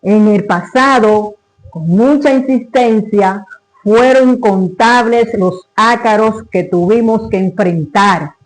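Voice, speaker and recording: A man reciting a literary monologue in a solemn, declamatory, deliberate manner. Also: high voice, poor audio.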